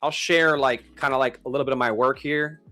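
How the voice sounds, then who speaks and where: A man's voice: medium pitch, steady tone, a little fast, pushed and bright, soft background music. A man of thirty-nine chatting casually as a live stream host, with informal, slangy bro-talk. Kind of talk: casual chat.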